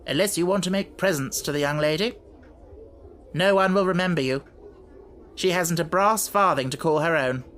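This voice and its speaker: A man's voice: fairly high pitch, normal speed, pauses often, fairly bright tone, a little hiss or hum, pitched above the performer's own voice, natural voice. A man playing a shrewd, unruffled businessman with a dry, detached manner in an audiobook story. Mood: dry, blunt. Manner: dry, detached, matter-of-fact.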